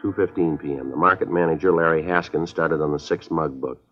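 A terse American police detective from a 1950s radio drama, very low in pitch and quick in pace. He narrates in a clipped deadpan. The old recording is muffled. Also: faint music behind. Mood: flat, businesslike. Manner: deadpan, clipped, matter-of-fact. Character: terse, businesslike male police detective narrating his case.

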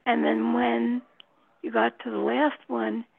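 A woman chats casually in a slightly high, extremely gravelly and husky voice. She takes her time, speaking slowly. It sounds like a thin phone line. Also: flat delivery, no echo. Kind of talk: casual chat.

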